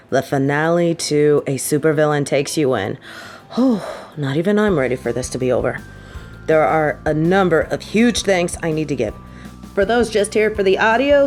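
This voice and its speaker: Gushing woman over music. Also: mid-range voice. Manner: gushing, effusive. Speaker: audio drama creator and voice performer. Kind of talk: casual thank-you introduction to listeners.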